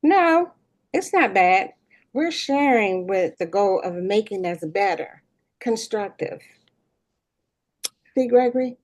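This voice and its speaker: A woman's voice: mid-range voice, expressive, slow pace, long pauses. A woman playing a self-important, petty school principal with a breezy, tactless manner in a sitcom table read. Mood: bossy. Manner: breezy, pompous, mock-reasonable.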